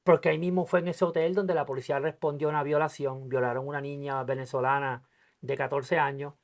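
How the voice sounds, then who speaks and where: A man's voice: a little high, level tone, fast. A man hosting a podcast, talking casually about politics with a guest, speaking emphatically and acting out quoted dialogue in his stories. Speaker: podcast host.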